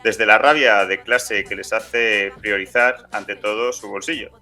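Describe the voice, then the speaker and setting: A man's voice: on the low side, fairly even intonation, measured pace, bright, forceful tone. A man hosting a political podcast, speaking in a sardonic, rhetorical way. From Aragon, Spain. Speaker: podcast host. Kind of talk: political podcast editorial and co-host banter.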